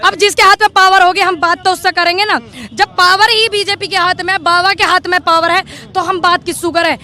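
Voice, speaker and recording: A woman at an outdoor street debate, arguing forcefully and firing rhetorical questions. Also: extremely high pitch, steady tone, fairly quick. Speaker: member of the public at a street debate.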